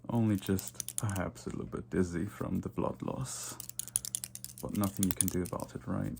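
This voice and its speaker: A man playing a vain, condescending yet flirtatious vampire in a soft-spoken, nonchalant ASMR roleplay. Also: deep voice, steady tone, vocal fry, background noise. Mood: smug, flirtatious. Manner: soft-spoken, unhurried, repeats words in whispers.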